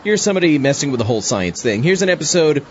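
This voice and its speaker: A man's voice: a little high, big pitch swings, fast, highs cut off, a little hiss or hum. A man playing a wry, chatty zombie astronaut who hosts a comedic audio drama. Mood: wry hosting. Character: wry, cautious zombie astronaut sidekick and show host.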